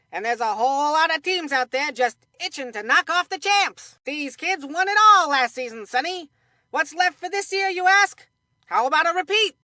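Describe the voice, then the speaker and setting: A high-pitched, folksy old-ballgame commentator for a cartoon video game. The delivery is bright and forceful, colloquial and exclamatory, captured in a dry, close studio sound. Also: female voice, no echo. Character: excitable, folksy retired baseball commentator, cartoon sidekick. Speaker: sports commentator in a children's baseball video game. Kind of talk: cartoon baseball color commentary.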